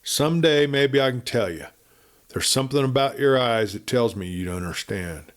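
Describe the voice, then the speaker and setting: A man's voice: medium pitch, lively intonation, normal speed, lightly acted. Guy doing a sweet-talking western drifter, folksy cowboy talk, sly and hungry, storybook style. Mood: smooth, ingratiating. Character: smooth-talking hungry cowboy drifter, man, slyly flattering.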